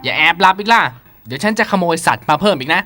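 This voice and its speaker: An actor voicing a bad-tempered animal-thief boss in a children's cartoon, scolding and ordering people about gruffly. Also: male voice, high-pitched, expressive, quick pace, pushed and bright, dry recording, faint music behind. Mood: bossy. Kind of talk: children's cartoon villain acting.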